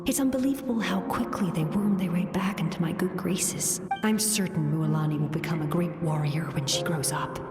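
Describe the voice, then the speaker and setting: Woman doing fond veteran warrior lady from a game, lowish voice, loud music over it. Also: moderate pace, few pauses, close to an everyday voice. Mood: fond, nostalgic. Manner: reflective, affectionate storytelling. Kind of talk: dramatic video game voice acting. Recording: echoey reverb under loud music.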